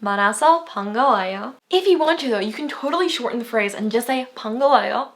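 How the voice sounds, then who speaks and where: A young woman in her twenties who talks fast, with a fairly high voice. She teaches in a chatty, upbeat way, laughing as she explains. Her words come in quick, eager runs. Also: animated. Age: 20s. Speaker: language teacher. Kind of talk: video language lesson.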